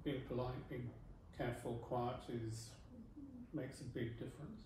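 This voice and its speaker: A polite, measured man in his fifties speaks calmly as a client. The sound is roomy and distant, picked up by a camera across the table. A steady background hiss sits under his voice. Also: mid-range voice. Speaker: client giving feedback. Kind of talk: casual interview. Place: home dining room, indoors. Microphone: far camera. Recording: echoey room, far from mic, noisy.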